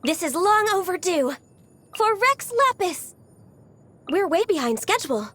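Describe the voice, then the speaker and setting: A woman playing a gentle, shy, dutiful half-qilin secretary in a video game, speaking politely and earnestly in a formal, apologetic way. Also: very high-pitched, big pitch swings, unhurried, heavily acted. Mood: urgent, battle cries. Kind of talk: video game character voice lines.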